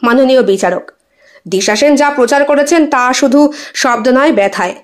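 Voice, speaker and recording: A woman voicing a calm, principled male defence lawyer in an audio story, measured and formal. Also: high-pitched, close to an everyday voice. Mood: calm, earnest.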